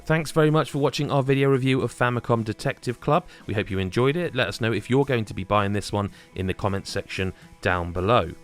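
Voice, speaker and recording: A man's voice: mid-range voice, fairly quick, hardly any pauses, faint music behind. A man reading a scripted video game review as the reviewer.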